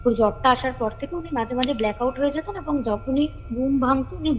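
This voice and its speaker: A woman's voice: sits high, fast, highs cut off. A woman tells a story in a casual, conversational way. She often repeats her phrases as she goes. Her voice rings with long reverb, and music plays behind her. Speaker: caller telling a ghost story. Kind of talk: casual spoken storytelling of a family ghost story. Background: background music.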